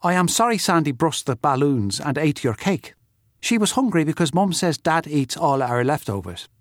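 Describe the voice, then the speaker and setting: An actor reading letters as a blunt, chatty young schoolboy with a simple, childlike way of talking. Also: male voice, a little high, very animated, moderate pace, dry recording, clean studio sound. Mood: childish candour. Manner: childlike, simple run-on telling. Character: young schoolboy writing blunt, chatty notes to his friend.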